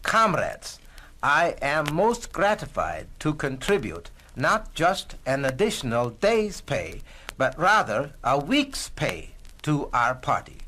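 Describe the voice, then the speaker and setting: Man acting a slow, proud old professor. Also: fairly high pitch, huge pitch swings, bright, forceful tone, heavily acted. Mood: solemn pride. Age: older. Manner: solemn, formal, deliberate. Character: elderly retired male professor, devoted, proud Communist comrade. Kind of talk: 1960s dramatized speech.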